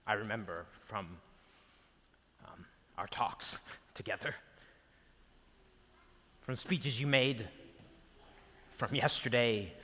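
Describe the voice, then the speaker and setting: A man playing a grieving, guilt-ridden, bewildered disciple in a theatrical monologue, speaking haltingly in broken, stammered phrases. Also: mid-range voice, a bit bright, breathy edge, full character voice. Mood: dazed, halting. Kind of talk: theatrical Good Friday dramatic monologue. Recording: long echoing hall reverb.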